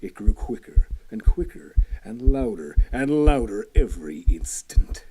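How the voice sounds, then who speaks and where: Brief: low, dark-toned male narrator for gothic horror, slow, whispers and builds. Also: big pitch swings, husky, low-quality recording. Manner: theatrical, shifting between hushed whispers and loud intensity. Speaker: narrator reading a classic horror story. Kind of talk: dramatic horror story reading.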